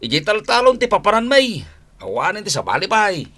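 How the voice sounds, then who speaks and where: A man's voice: high-pitched, wildly swooping pitch, a little fast, sharp tone, heavily acted. A man with an Ilocano accent playing an easygoing, hard-drinking farmer husband in a comedy radio drama, grunting, sighing and chuckling as he wheedles. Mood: dismissive, chuckling. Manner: drawling, full of grunts, sighs and chuckles.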